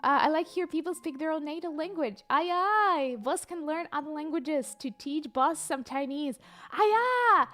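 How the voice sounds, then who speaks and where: A young woman, a livestreamer chatting casually and reading viewer comments aloud, excitable and hesitant in her English. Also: sits high, moderate pace. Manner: chatty, excitable, bursts of squeals and laughter; hesitant non-native English with many fillers.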